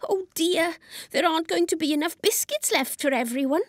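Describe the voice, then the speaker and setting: A woman's voice: extremely high pitch, moderate pace, no echo, clean studio sound, pitched above the performer's own voice. A woman voicing a greedy, sly male animal who whines and complains in an exaggerated way. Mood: worried.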